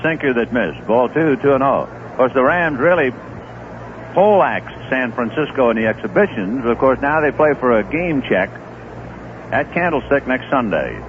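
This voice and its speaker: A man doing live play-by-play for a baseball radio broadcast, telling relaxed anecdotes between pitches, on a tinny old radio recording. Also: normal speed. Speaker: play-by-play announcer. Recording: tinny lo-fi 1970s radio broadcast with faint hiss.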